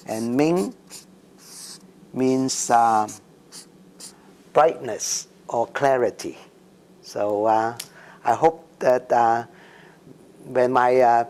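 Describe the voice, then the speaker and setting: Slow-speaking man in his sixties, gravelly. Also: medium pitch, a little mellow. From Macau and Hong Kong. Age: 60s. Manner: slow, hesitant, many ums and restarts. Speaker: interviewee. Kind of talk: oral history interview.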